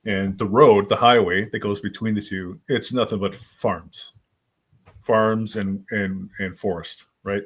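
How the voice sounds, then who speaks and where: A man in his fifties, a guest author, telling his stories in a rambling, animated way with lots of fillers. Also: lowish voice, measured pace, husky. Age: 50s. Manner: animated, rambling storyteller with many fillers and restarts. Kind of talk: casual storytelling in a podcast interview.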